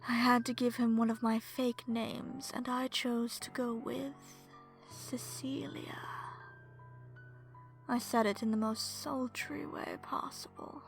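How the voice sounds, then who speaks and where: A woman playing a seductive, smug and predatory vampire in an ASMR horror story, speaking in a sultry, breathy way that slips into whispers. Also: a little high, flat delivery, takes it slowly, faint music behind. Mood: sultry scheming. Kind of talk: ASMR horror story narration in first person.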